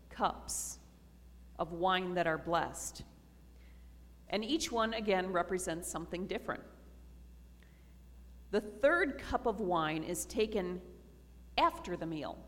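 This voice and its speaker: Slow-speaking woman preaching in a hall. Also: on the low side. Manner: slow, reflective, earnest. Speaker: pastor. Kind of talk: communion sermon. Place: church sanctuary. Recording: reverberant church hall.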